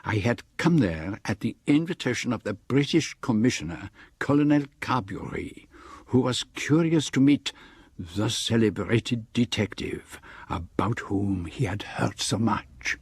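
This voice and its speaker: An actor playing a courteous, inquisitive Belgian detective with a French-speaking Belgian accent in a radio drama, speaking in a measured, deliberate way. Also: male voice, fairly low pitch, lively intonation, slightly rough, dry recording, full character voice. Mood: measured, reflective. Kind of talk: radio drama acting and narration.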